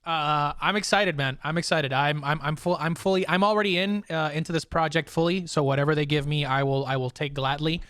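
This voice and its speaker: Bright, higher-voiced man hosting casually. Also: brisk, few pauses, smooth, dry recording, clean studio sound. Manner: animated, slangy, swearing. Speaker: podcast host. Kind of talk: casual anime review chat.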